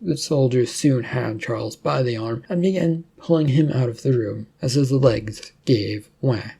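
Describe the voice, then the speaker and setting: A man's voice: medium pitch, unhurried, gravelly, dark tone, breathy edge. A man narrating a fictional story as a storyteller, reading in an informal way.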